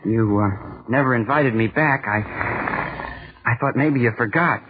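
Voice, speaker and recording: A man's voice: medium pitch, a little slow, lightly acted. An actor playing an earnest, awkward young college man with grand dreams, hesitant and eager, in 1940s radio drama style. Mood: hurt, pleading. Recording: scratchy, tinny 1940s radio broadcast.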